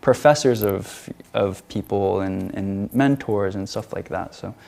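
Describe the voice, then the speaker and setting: A young American man with a low, gravelly voice answers questions about his art and travels. He talks casually and hesitantly, circling back and filling pauses with "um" and "uh.". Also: a little mellow. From United States. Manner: hesitant, many fillers and restarts. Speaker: featured artist, guest.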